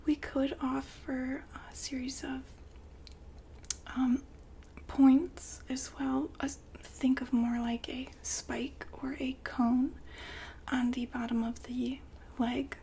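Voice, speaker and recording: A woman whispering softly and politely in an ASMR customer-service roleplay, breathy and gently hesitant. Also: high-pitched, level tone, a little hiss or hum. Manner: soft-spoken, polite, unhurried, gently hesitant. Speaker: ASMR roleplay performer as a shop consultant.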